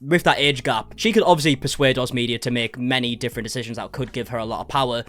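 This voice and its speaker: A man's voice: medium pitch, steady tone, fast, fairly bright tone. A man in his twenties giving casual, exasperated reaction commentary, chatty and swearing at times. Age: 20s. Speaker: commentary YouTuber.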